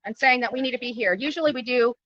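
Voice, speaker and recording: A high-voiced woman talks rapidly and insistently, like an activist answering the press. She is indignant and leans on repeated phrases to drive her point home. The audio is muffled and lo-fi. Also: fairly bright tone, dry recording, recorded from a distance. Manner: emphatic, indignant, repetitive phrasing. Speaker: YouTube livestreamer and missing-person activist being interviewed. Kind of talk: impromptu press interview. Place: at a county jail, press interview.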